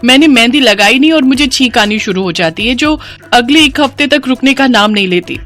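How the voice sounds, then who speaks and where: A woman voicing an anxious, complaining young bride-to-be in an animated cartoon story. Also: on the high side, fairly quick, faint music behind, pitched above the performer's own voice. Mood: worried, fretful. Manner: fretful, complaining.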